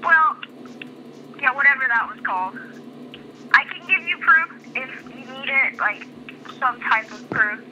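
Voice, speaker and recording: A young woman chatting casually as a co-player in a game, quick and a bit defensive. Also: high voice, lively intonation, bright, forceful tone, dull audio, a little hiss or hum. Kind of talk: casual chat.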